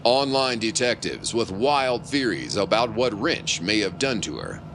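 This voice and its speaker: An actor playing a melodramatic announcer, narrating a pulp adventure serial with grandiose suspense. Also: male voice, lowish voice, expressive, unhurried, background noise.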